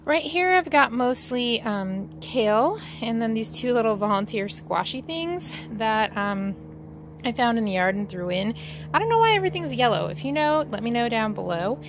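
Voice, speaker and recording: Woman, 30s, vlog host, slightly gravelly voice, chatty and rambling, phone mic outdoors with faint ambience. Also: fairly high pitch, moderate pace, noisy background. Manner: relaxed, rambling, many ums. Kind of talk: casual garden tour vlog. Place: outdoors in a backyard garden. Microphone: phone at arm's length.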